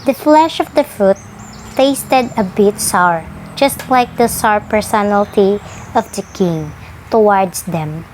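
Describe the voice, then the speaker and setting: A woman's voice: mid-range voice, takes it slowly, darkish tone, a little hiss or hum, low-quality recording. A woman reading folktales aloud as scripted narration. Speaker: storyteller.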